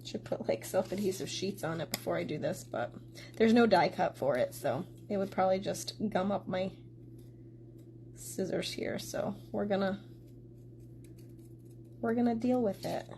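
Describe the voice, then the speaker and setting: Congested, gravelly woman chatting through a craft demo. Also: medium pitch, moderate pace, long pauses, mellow, slight background noise. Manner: chatty, talks straight to viewers. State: congested with a sore throat. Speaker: card-making host. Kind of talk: casual craft tutorial.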